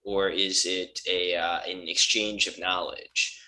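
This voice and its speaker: Low bright-voiced podcast co-host. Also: male voice, little pitch movement, slow pace, poor audio. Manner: agreeable, chimes in, speaks in short phrases. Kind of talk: casual podcast chat.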